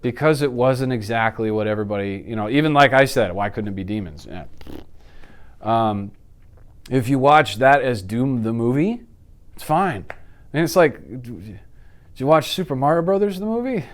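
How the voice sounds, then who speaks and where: A man in his thirties chatting casually as an interview guest, telling stories in an animated way and acting out reactions. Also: medium pitch, normal speed. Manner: animated storytelling, acts out reactions and sound effects. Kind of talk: casual chat about films and games. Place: indoor office studio.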